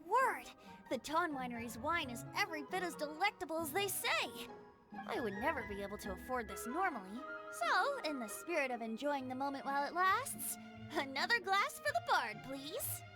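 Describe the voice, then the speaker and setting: A woman's voice: high voice, sing-song, normal speed. A woman voicing a whimsical, theatrical, tipsy young male bard with florid delivery in an anime game. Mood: tipsy, jubilant. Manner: grandiose, florid. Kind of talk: anime game dub acting. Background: music.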